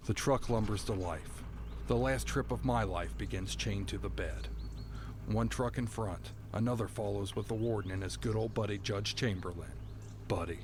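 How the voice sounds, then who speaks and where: An actor playing a bitter, doomed prisoner who narrates a first-person audio drama with brooding, dramatic phrasing. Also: male voice, low-pitched, a little hiss or hum. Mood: grim, wary.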